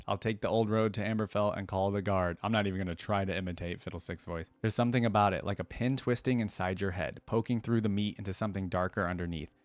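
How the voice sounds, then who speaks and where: A man's voice: fairly low pitch, dry recording. A man hosting a reaction video, talking casually and reading a story aloud.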